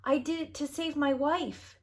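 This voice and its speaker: A frightened young husband from a storybook, played by a woman. The voice jumps high and pleads anxiously. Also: fairly even intonation, unhurried, a little mellow. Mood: terrified pleading.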